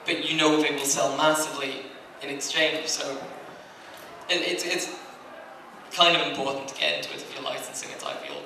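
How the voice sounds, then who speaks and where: A man's voice: mid-range voice, bright, forceful tone, recorded from a distance. A man speaking conversationally and discursively on a convention panel as an anime licensing executive. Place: large indoor convention panel room. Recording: very echoey room, off mic, faint noise.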